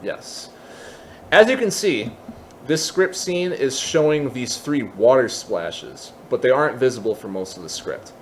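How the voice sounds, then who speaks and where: A man presenting an informal software tutorial, thinking aloud and openly admitting when he is unsure of details. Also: medium pitch, animated, unhurried, slight background noise.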